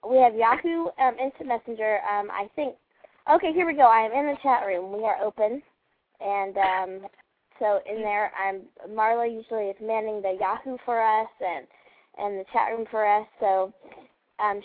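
A woman's voice: medium pitch, dry recording. A woman chatting casually as co-host of a live radio show. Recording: phone-like, dry, narrow audio.

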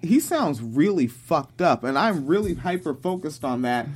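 A man in his thirties with a fairly high-pitched voice, co-hosting a casual reaction podcast with profane, laughing banter. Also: normal speed, husky. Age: 30s. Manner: animated, profane, laughs often. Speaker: podcast co-host.

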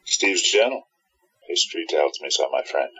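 A man's voice: rumbling low, animated, moderate pace, sharp tone, exaggerated voice. A man playing a confident time-traveling hero in an amateur audio drama, reassuring and authoritative. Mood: reassuring, resolute. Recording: dull, muffled lo-fi recording.

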